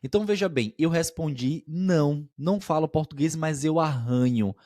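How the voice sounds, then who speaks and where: A man's voice: fairly high pitch, moderate pace, warm tone, dry recording, studio quality. A man co-hosting a podcast as a language teacher, explaining expressions patiently and often checking that listeners follow.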